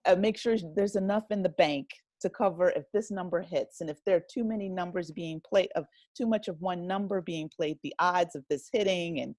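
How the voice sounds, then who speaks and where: Woman, lower-pitched, thoughtful podcast guest. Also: a little mellow, dry recording. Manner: thoughtful, halting, explains with lists and examples. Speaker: podcast guest, writer and director. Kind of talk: casual conversational interview.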